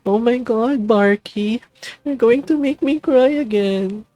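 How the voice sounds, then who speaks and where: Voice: mid-range voice, takes it slowly, dark tone, soft background music, pitched above the performer's own voice, natural voice. A performer voicing an anxious, emotional middle-aged dad in a visual novel, earnest and fretful. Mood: tearful.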